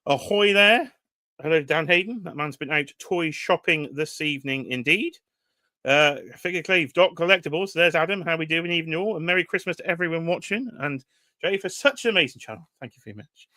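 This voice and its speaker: Bright-voiced British man hosting a toy livestream. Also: on the high side, fairly quick, no room sound. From United Kingdom. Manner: chatty, teasing, reads chat names aloud. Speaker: livestream host. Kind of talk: casual livestream chat.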